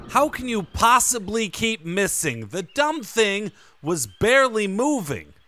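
An actor playing a cocky vampire biker thug, goading and theatrical with mock-grandiose flourishes. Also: male voice, sits high, sing-song, unhurried, bright, forceful tone, heavily acted. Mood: goading, smug. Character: cocky male biker-type vampire thug, taunting ringleader.

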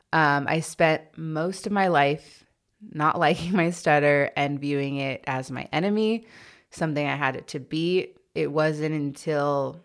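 Podcast host, woman who stutters, low voice, slow and candid. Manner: stutters, with blocks and repeated sounds; candid and reflective, with many fillers. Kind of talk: casual solo podcast answering listener questions.

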